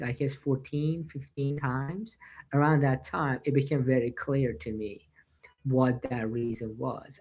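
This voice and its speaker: Earnest man. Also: a little high. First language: Persian. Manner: earnest, with frequent "uh" and non-native grammar slips. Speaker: guest. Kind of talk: reflective personal storytelling. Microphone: video call.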